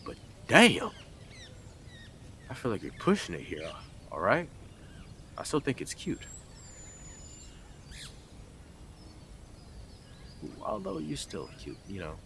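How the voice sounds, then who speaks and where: A man's voice: medium pitch, huge pitch swings, a little slow, gravelly, pauses often, sharp tone, slight background noise, heavily acted. A man playing a blunt, sarcastic, teasing stalker who is devoted to the listener in an intimate roleplay audio drama. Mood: flustered, blunt.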